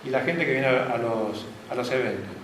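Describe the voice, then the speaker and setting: A man's voice: mid-range voice, normal speed, fairly bright tone. A man with an Argentine accent gives an open class, telling anecdotes and asking the audience whether they follow him. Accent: Argentine Spanish. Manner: anecdotal, asks the audience for confirmation. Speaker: guest lecturer. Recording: very echoey classroom, faint background noise.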